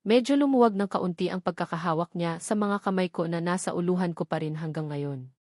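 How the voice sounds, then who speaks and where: A woman's voice: on the low side, fairly quick, a little mellow, no echo. Filipino woman narrating a romance novel, close and dry. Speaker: audiobook narrator. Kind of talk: scripted first-person romance novel narration.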